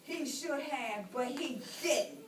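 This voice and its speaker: A perky, preachy female puppet voiced by a woman in a children's show. The voice is extremely high and slow, with exaggerated earnestness. It sounds far from the microphone in an echoey, noisy room. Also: expressive. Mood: earnest storytelling. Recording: distant, echoey room with clear noise.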